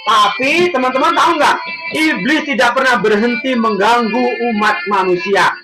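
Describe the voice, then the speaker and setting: Echoey high-voiced woman storyteller. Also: normal speed. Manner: exclamatory, questioning the children, repeating phrases. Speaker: children's storyteller with puppet. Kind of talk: animated religious storytelling for children. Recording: echoey room, loud music bed.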